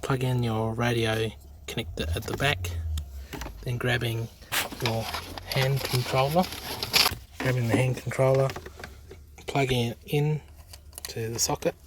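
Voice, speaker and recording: An Australian man giving a casual hands-on installation demo in halting, unscripted short phrases. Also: medium pitch, little pitch movement. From South Australia. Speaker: amateur YouTube presenter. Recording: noisy amateur handheld recording, clicks.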